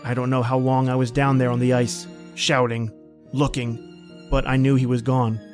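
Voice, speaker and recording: A man's voice: medium pitch, little pitch movement, moderate pace, soft background music, lightly acted. A man playing a haunted, panicky man stranded in polar cold, telling his ordeal in an old-time radio horror style. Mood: numb, grieving. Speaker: podcast host and actor.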